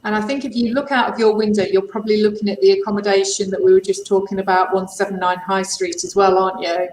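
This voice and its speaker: A woman's voice: mid-range voice, fairly even intonation, quick pace, a little mellow, echoey room, a little hiss or hum, low-quality recording. A woman hosting a casual interview, asking upbeat questions that often trip over their own wording. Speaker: host.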